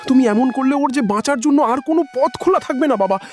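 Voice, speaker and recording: A man's voice: extremely high pitch, fast, darkish tone. A man playing a poor, ageing labourer father in a cartoon drama, humble and pleading. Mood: desperate pleading. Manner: pleading, deferential. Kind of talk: Bengali cartoon drama acting. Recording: music under the voice.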